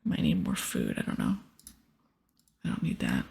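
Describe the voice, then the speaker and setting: A woman doing whispered ASMR gameplay narration, with soft murmured asides to herself. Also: very deep voice, wildly swooping pitch, moderate pace. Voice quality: whispery, breathy. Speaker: game streamer reading quest dialogue.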